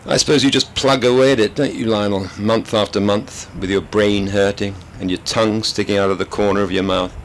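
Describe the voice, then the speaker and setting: A sardonic older prison governor, as a male reader puts him on speaks with dry sarcasm. The voice is deep and low, with a light wash of room noise. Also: steady tone, brisk, hardly any pauses, natural voice.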